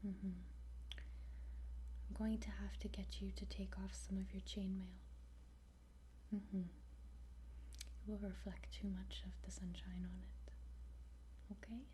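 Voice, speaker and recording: A woman softly whispering an ASMR roleplay as an attentive dragon training guide, gentle and halting, with frequent pauses. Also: mid-range voice, level tone, lightly acted. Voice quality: soft, breathy whisper.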